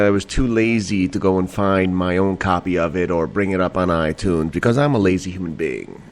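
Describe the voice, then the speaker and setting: A man hosting a casual late-night podcast from home, a bit tipsy and a little nasal, rambling and laughing with lots of fillers and swearing. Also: fairly low pitch. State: slightly tipsy. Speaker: podcast host. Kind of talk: late-night casual solo talk show.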